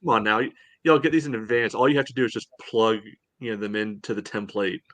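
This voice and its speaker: A man co-hosting a sports podcast, chatting casually in a halting way with lots of filler phrases. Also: on the low side, steady tone, fairly bright tone, no room sound. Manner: halting, peppered with "you know".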